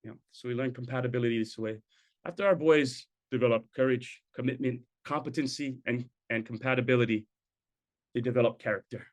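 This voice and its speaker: A middle-aged man, speaking through a webcam on a video call from an indoor room. He presents in a conversational way and checks in with his listeners as he talks. His voice sits at an ordinary male pitch. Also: steady tone, brisk. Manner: conversational, tag questions, sprinkles in Hawaiian words. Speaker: program presenter. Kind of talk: presenting a talk.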